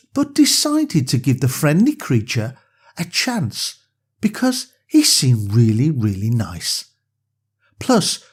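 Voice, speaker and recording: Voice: medium pitch, husky. A storyteller narrating a scripted bedtime story for young children.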